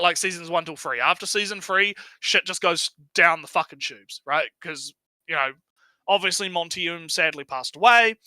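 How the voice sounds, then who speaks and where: A man's voice: high voice, sharp tone, no echo, rough recording. A man giving casual, unscripted stream commentary, rambling and swearing, often tagging his sentences with "right?". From outside the United States.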